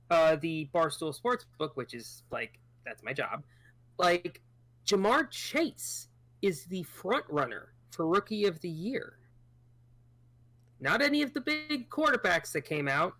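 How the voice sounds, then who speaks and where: A thirty-year-old podcast co-host in casual sports chat, chatty and trailing off into low asides. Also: fairly bright tone, no echo.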